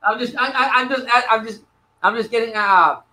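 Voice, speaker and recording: A man's voice: very high-pitched, brisk, sharp tone. A middle-aged man in a casual chat, playfully quizzing others and dropping in Spanish phrases. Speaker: guest, host's father.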